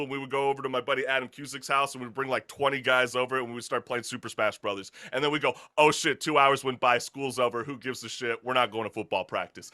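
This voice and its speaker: A man hosting a casual talk show in an animated, slangy, hyped-up way. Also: medium pitch.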